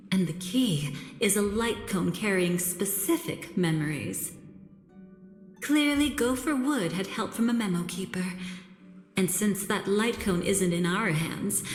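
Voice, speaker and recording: A woman's voice: mid-range voice, natural voice. A woman voicing an enigmatic, elegant young woman in a video game, coolly playful and teasing, musing in a languid, coy, theatrical way. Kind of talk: scripted video game voice acting. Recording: roomy long reverb with music behind.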